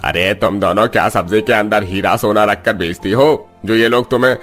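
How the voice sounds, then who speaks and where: A man puts on a jealous male market seller from a cartoon. The voice is fairly low and accusing, with music underneath. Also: normal speed, fairly bright tone, natural voice. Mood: jealous, annoyed. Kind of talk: cartoon story dialogue.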